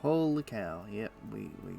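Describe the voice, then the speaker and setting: A man's voice: fairly low pitch, unhurried, gravelly, muffled recording. A man streaming a video game, talking half to himself with dry, wry asides as he plays. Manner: muttering to himself while playing, wry asides. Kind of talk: casual live-stream gameplay commentary. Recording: steady background noise.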